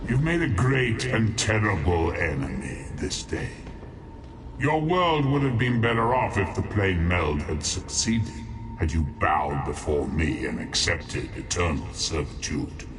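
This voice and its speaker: A man's voice: low voice, big pitch swings, unhurried, noisy background. A man playing a scheming demon lord in a fantasy game, pompous, mocking and menacing toward mortals. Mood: gloating menace. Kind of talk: scripted fantasy game villain dialogue.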